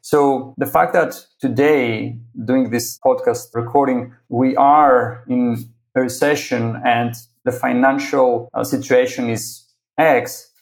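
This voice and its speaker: A man's voice: mid-range voice, steady tone, slow pace, gravelly. A man from Greece with a Greek accent, a podcast guest answering thoughtfully and often checking in with "right?". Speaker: agency founder, podcast guest. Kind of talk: conversational interview.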